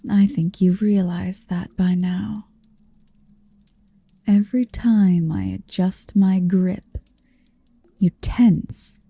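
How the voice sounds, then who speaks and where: Woman acting a slow, breathy possessive dragoness. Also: fairly low pitch, warm tone, no room sound, full character voice. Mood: teasing, possessive. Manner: slow, breathy, often whispering, teasing. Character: possessive, huge, motherly female dragon, tenderly domineering. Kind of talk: ASMR roleplay. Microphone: close-up microphone, dry.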